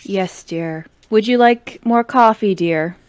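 A woman's voice: mid-range voice, slow pace, clean tone, no echo, natural voice. A woman voicing a meek middle-aged wife who answers deferentially. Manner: mild, deferential.

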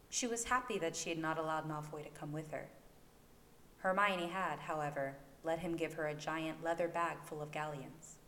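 A woman reading fiction aloud as a dramatic, scripted narration for an amateur home-recorded audio story. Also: low voice. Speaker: fan fiction podfic narrator. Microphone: new home recording microphone. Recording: echoey room, faint background noise.

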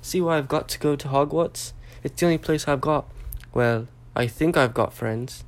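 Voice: medium pitch, normal speed, close to an everyday voice. A reader voicing an earnest, worried young boy in a storybook, speaking urgently and insistently. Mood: pleading. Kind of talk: storybook character voice.

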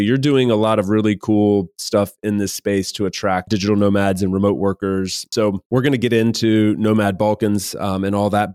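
A man hosting a podcast, chatty and relaxed, often restarting with repeated words. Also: fairly low pitch, clear, resonant tone, no echo, studio quality. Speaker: podcast host. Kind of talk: conversational interview.

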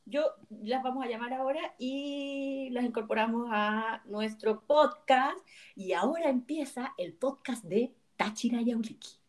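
A woman's voice: on the high side, measured pace. Chatty woman, podcast co-host. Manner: chatty, laughs often. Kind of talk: casual podcast chat.

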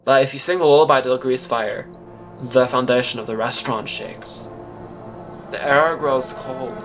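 A man's voice: medium pitch, low-quality recording. Awkward, earnest male narrator over music. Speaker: narrator and performer. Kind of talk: first-person audio drama monologue.

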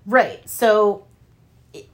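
A woman co-hosting a casual political commentary show. She talks conversationally at a middling pitch and leans on key words for emphasis. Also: fairly even intonation, very slow. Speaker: podcast co-host.

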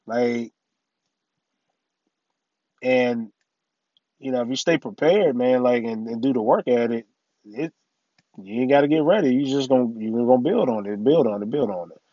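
A middle-aged man hosting his own podcast in a casual, rambling way, full of "you know" and "man", his voice a little raspy from allergies. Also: medium pitch, normal speed, long pauses, no room sound, lo-fi sound. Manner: laid-back, rambling, many "you know" and "man" fillers. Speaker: podcast host. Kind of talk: casual solo podcast talk.

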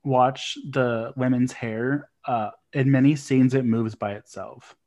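A man's voice: mid-range voice, steady tone, slow pace, a little mellow. A man co-hosting a podcast, chatting in an easygoing, joking way. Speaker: podcast co-host. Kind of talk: casual chat.

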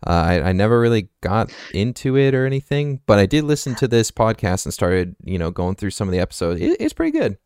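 A man's voice: fairly low pitch, huge pitch swings, fast, hardly any pauses, a little mellow, smooth, no room sound, studio quality. A man hosting a casual podcast interview, asking questions hesitantly and apologetically, with stammered restarts. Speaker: podcast host.

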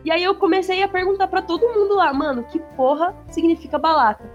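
A woman with a São Paulo accent chatting casually, full of slang and swearing, speeding up when she gets excited. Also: very high-pitched, fast, lo-fi sound, faint music behind. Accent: São Paulo Portuguese. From São Paulo, Brazil. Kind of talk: casual chat.

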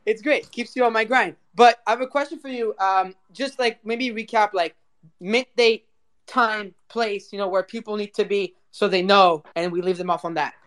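A mid-pitched voice hosting a live audio show. The delivery is informal and slangy, with effusive praise and a loose, chatty flow. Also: moderate pace, a bit bright. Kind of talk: casual chat hosting.

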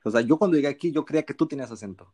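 A young man from Sinaloa with a Sinaloan Mexican accent, co-hosting a podcast and telling stories in relaxed, slangy chat. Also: mid-range voice, racing. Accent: Sinaloan Mexican Spanish. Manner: relaxed storytelling, frequent slang fillers. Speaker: podcast co-host. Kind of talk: casual chat.

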